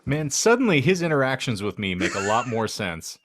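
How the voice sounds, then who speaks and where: A man's voice: medium pitch, sing-song, a little fast, a bit bright, slight background noise. A man co-hosting a casual comedy podcast, talking in a wry, wordy and irreverent way. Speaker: podcast co-host.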